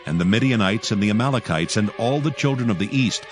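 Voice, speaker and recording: A man narrating dramatized scripture in a formal, measured storytelling manner. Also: lowish voice, expressive, music in the background.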